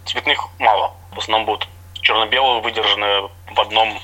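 A man's voice: lowish voice, fairly even intonation, fairly quick, bright, forceful tone, lo-fi sound. A middle-aged man from Latvia, a guest on a radio interview, talks about his theatre projects in an easygoing, explanatory way with small hesitations.

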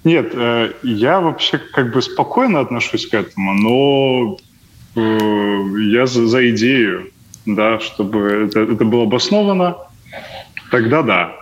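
A man's voice: medium pitch, animated, measured pace. A young man chatting casually as a panel guest, hesitant and thinking aloud as he answers. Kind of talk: casual chat, answering questions. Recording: muffled, noticeably noisy sound.

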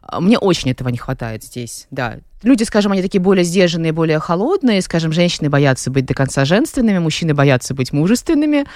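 A woman from Latvia speaks as a guest artist on a radio interview, articulate and reflective, with soft laughs now and then. Her words come quickly. The studio sound is dry and close, with no room around her. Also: deep voice, very animated, no echo. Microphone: studio microphone.

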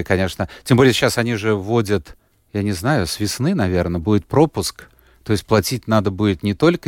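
A man with a fairly low voice chats loosely through an interview, often stopping and restarting his sentences. He is recorded close and dry, with no room sound. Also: dry recording. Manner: rambling, with many fillers and restarts. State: unwell, recovering. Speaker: radio host, guest here. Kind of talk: relaxed conversational interview.

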